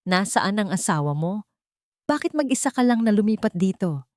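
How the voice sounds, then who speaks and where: A sharp, meddling village captain woman from an audio drama, put on by a woman narrator. Her voice is raised a little and recorded dry. She pries and insinuates, judging whoever she talks to. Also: mid-range voice, very animated, moderate pace, dry recording, pitched above the performer's own voice, natural voice. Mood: prying, judgmental. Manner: prying, insinuating. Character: nosy, judgmental woman village captain, sharp-tongued busybody.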